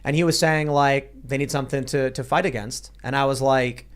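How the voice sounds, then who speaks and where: A man hosting a panel show, chatting casually and getting animated, his voice jumping up when excited. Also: mid-range voice, brisk. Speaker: show host.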